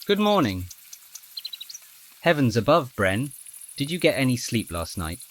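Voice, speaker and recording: A man acts out a bookish young male roommate for an audio drama. He speaks in measured, slightly formal sentences at a middling pitch. He is friendly and gently teasing. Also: sing-song, slight background noise.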